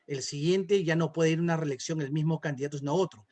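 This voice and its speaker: High-pitched Peruvian man giving political commentary. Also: fast, a little mellow. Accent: Peruvian Spanish. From Peru. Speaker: political consultant, interview guest.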